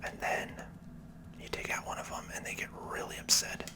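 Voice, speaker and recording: A person whispering casual, unhurried commentary for an ASMR video game playthrough, in a soft, breathy, unvoiced voice.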